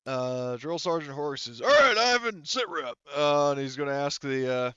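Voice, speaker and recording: Halting male game master, smooth dry sound. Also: a little high, big pitch swings, a bit bright, dry recording, muffled recording. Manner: halting, rambling, thinking aloud. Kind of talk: improvised tabletop game narration, casual.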